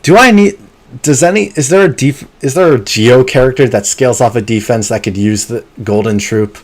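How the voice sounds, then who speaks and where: A man streaming a video game live, chatting casually and muttering to himself between bursts of excitement. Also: mid-range voice, normal speed. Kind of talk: live gameplay commentary.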